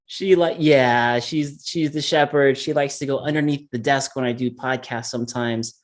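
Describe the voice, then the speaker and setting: A man from California talking casually as an interview guest, animated and laughing often. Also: mid-range voice, normal speed. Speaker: interview guest, mountain biker. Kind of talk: casual conversation.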